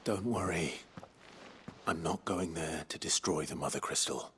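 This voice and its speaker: An actor playing a brooding, resolute warrior leader in a fantasy game, husky, terse and earnest. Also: male voice, a little slow, fairly bright tone, exaggerated voice. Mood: reassuring, calm. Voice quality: husky, gravelly rasp. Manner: low-key, terse, earnest. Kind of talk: scripted fantasy game dialogue.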